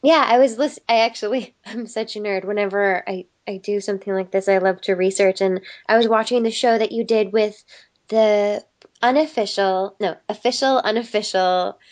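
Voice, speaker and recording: A woman's voice: mid-range voice, normal speed. Thoughtful woman guest who laughs often. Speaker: voice actress guest. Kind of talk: casual interview chat.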